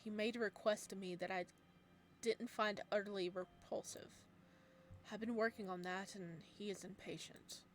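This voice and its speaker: A woman's voice: mid-range voice, fairly even intonation, moderate pace, slight background noise, natural voice. A woman reading as a solemn male ice god. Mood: calm.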